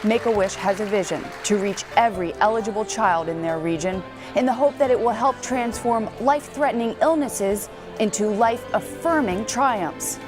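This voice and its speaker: A woman's voice: mid-range voice, normal speed, over music, a crowd in the background. A woman hosting a local news show, reading scripted narration in an upbeat, chatty way.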